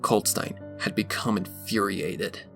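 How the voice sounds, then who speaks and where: Male narrator for dramatic scripted fiction, lowish with heavy fry, music underneath. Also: level tone, slow pace. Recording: music behind the voice.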